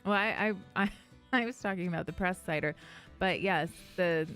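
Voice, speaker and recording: A woman's voice: lowish voice, normal speed, a bit bright. A woman chatting casually and conversationally on a podcast. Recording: clear guitar music behind the voice.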